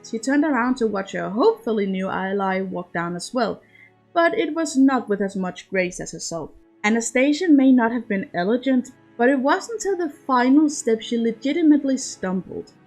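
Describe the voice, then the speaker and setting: A woman's voice: fairly high pitch, a little mellow. A woman reading scripted fiction aloud as an amateur audiobook narrator.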